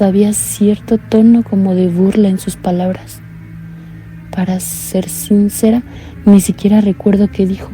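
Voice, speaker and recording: A young woman playing a blind caller recounting a scare in a soft, earnest, confiding way. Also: medium pitch, lightly acted. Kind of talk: radio drama narration.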